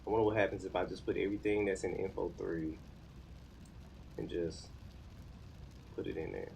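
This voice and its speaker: A man's voice: low-pitched, fairly even intonation, moderate pace, gravelly, warm tone, slight background noise. An American man in his thirties chatting casually on a live stream, informally thinking aloud as he works. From United States. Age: 30s. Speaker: live streamer learning web development.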